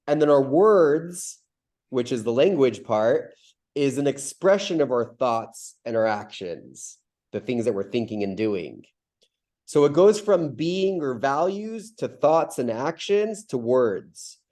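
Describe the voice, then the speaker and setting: A man's voice: mid-range voice, animated, slow pace, darkish tone. A man with a stuffy nose from a cold hosts a community video call, explaining ideas in a loose, rambling way with many fillers and restarts.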